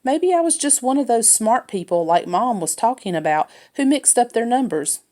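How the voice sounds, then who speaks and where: A woman's voice: a little high, lively intonation, normal speed, dark tone. Woman reading a children's story aloud. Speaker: read-aloud narrator. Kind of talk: scripted narration of a children's novel, first person.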